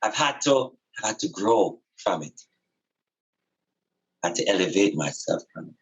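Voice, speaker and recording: A man's voice: fairly low pitch, animated, moderate pace, low-quality recording. A man speaking as a spiritual teacher in conversation, earnest and emphatic, repeating phrases for effect.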